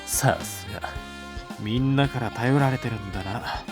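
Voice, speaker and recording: A man playing an aloof, gruff high school boy with curt, rough speech and a bashful streak, in an anime-style voice drama. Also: unhurried, loud music behind the voice, close to an everyday voice. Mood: casual, aloof.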